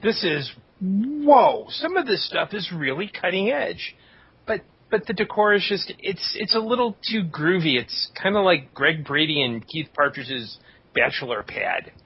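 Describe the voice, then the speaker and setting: A man playing a pedantic, cautious professor in a parody radio drama, husky and halting. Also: sits high, animated, muffled recording, full character voice. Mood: hesitant, fussy.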